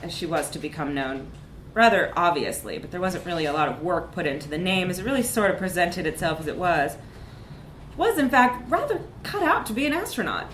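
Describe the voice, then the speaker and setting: A woman's voice: fairly low pitch, fairly bright tone, roomy sound, a little hiss or hum, low-quality recording. A woman reads a comic short story aloud at a live event, with wry, emphatic narration. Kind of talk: animated literary narration, live reading.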